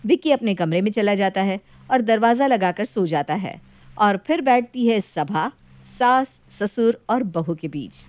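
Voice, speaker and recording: A woman's voice: medium pitch, expressive, a little slow, a little mellow, dry recording. A woman reading out scripted story narration as a storyteller.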